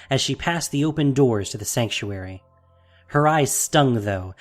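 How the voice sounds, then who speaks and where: A man reading scripted fantasy fiction as a dramatic narrator. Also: medium pitch.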